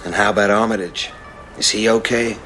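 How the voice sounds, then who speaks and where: An actor playing a weary, guarded young hacker hustler in a radio drama, brooding and terse, with music behind him. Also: male voice, fairly low pitch, steady tone, brisk. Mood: guarded, uneasy. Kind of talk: radio drama acting with brooding first-person narration. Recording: loud music bed under the voice.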